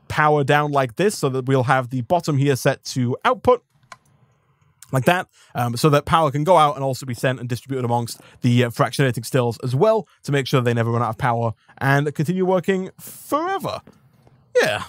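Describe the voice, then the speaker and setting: A man commentating his own live gameplay stream in an upbeat, rambling way, thanking viewers, his voice a little hoarse. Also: mid-range voice, no room sound, clean studio sound. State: slightly hoarse.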